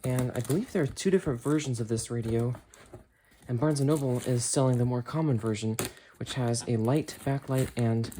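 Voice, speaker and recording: A man's voice: medium pitch, fairly quick, dark tone. A young adult man giving casual unboxing commentary as he handles the item at home. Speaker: unboxing video maker. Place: small carpeted home room with computer desk. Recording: clear background noise, crinkling and clicks. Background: noise, crinkling packaging, clicks.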